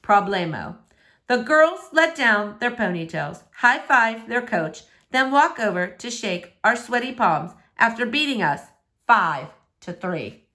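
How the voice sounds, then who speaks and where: A woman reading a verse novel aloud as an expressive storyteller. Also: fairly high pitch, unhurried. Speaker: book reader.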